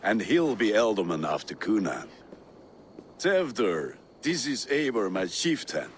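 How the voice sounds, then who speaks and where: Deep, lively Viking blacksmith. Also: male voice, unhurried, a little hiss or hum. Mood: eager. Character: hearty, lovestruck Viking blacksmith man, blunt and teasing. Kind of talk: video game dialogue acting.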